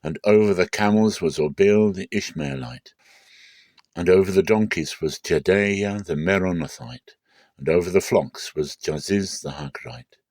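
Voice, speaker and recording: A man narrating a Bible passage as a measured, deliberate dramatic scripted reading. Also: deep voice, darkish tone, no echo.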